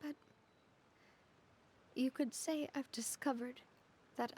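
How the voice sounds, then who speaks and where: An actress playing a tired, vulnerable young woman in a video game, speaking softly and earnestly. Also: high-pitched, fairly even intonation, a little hiss or hum. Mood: tender. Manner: soft, earnest, slow. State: worn out.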